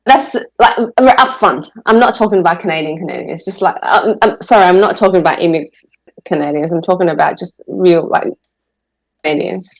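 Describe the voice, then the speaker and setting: Low-voiced woman with an Australian accent. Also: brisk, creaky, poor audio. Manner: hesitant, laughs often. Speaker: trader being coached. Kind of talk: casual conversation.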